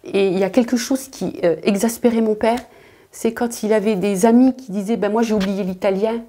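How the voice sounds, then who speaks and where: A woman's voice: mid-range voice, fairly even intonation, brisk, warm tone. A woman in a documentary interview, speaking earnestly about her roots. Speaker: interviewee, daughter of immigrants.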